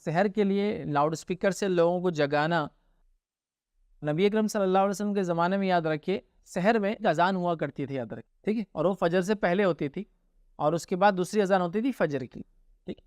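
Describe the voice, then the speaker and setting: A man's voice: high-pitched, normal speed, darkish tone, dry recording, studio quality. A man with an Indian accent answering religious questions informally as a scholar, explaining and often checking that listeners follow.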